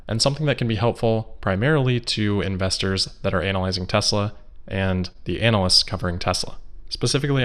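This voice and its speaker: Man, lower-pitched voice, podcast host, scripted commentary, measured, analytical, explanatory delivery. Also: fairly bright tone. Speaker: host of an investor news podcast. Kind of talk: scripted solo news commentary.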